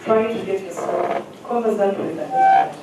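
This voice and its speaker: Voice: mid-range voice, huge pitch swings, normal speed, loud music behind the voice. An education adviser gives direct, instructive advice to students, checking now and then that the audience is following. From Uganda. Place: large hall at a careers fair. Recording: echoey hall, distant mic, faint hum.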